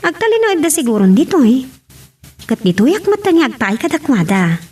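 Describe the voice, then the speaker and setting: A woman playing a gentle wife working abroad in an amateur audio drama, explaining things conversationally. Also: high voice, sing-song, moderate pace, recorded from a distance. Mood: careful. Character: wife abroad gently breaking news to husband.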